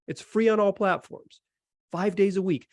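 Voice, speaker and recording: Higher-pitched American man hosting a sports podcast. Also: fairly even intonation, normal speed, darkish tone, dry recording, studio quality. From North Carolina, United States. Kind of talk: conversational basketball podcast talk.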